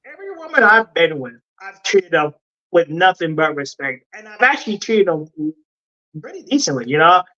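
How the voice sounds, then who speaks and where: A man's voice: high voice, big pitch swings, moderate pace, bright, forceful tone, dull audio. A man from Nebraska, an interview guest, defending himself insistently and repeating his points. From United States, Nebraska. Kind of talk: interview answers.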